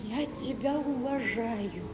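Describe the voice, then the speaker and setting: Very slow, high-voiced tender woman. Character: tender, devoted woman in love. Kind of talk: Soviet stage and radio drama acting. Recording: echoey, with clear music behind.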